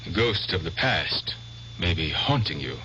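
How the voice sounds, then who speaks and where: An actor playing a patient, grave doctor in a radio drama, speaking deliberately with thoughtful pauses. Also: male voice, low-pitched, lively intonation, sharp tone, heavily acted. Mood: grave, probing. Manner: deliberate, hesitant pauses, soothing reasoning. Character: measured, probing male doctor, patient and grave. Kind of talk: 1970s radio drama acting. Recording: dull vintage radio recording, faint hum.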